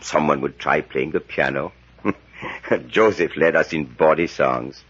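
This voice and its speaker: An actor playing a grave, scholarly European professor in a 1940s radio serial, deliberate and building to theatrical intensity. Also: male voice, a bass voice, fairly bright tone, slightly rough, full character voice. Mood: brooding. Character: grave elderly male European professor, steeped in dark lore. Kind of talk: 1940s radio acting, dramatic storytelling. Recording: muffled vintage 1940s radio recording.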